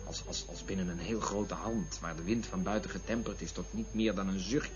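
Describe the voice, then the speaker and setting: A man's voice: low-pitched, steady tone, fairly quick, natural voice. Male actor playing crude joking man, restless, rambling, bitter asides, radio drama, lo-fi sound, faint music. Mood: wistful, musing. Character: restless, crude, joking man brooding over love and women. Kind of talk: radio play acting. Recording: dull lo-fi radio recording with music.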